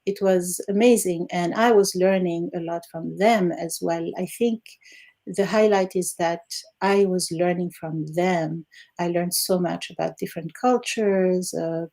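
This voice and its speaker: A woman's voice: on the low side, vocal fry. A woman in her sixties from Algeria, a native Arabic speaker, answering interview questions as a guest with frequent laughs and fillers. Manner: warm, laughs often, fillers. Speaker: guest, retired Arabic teacher.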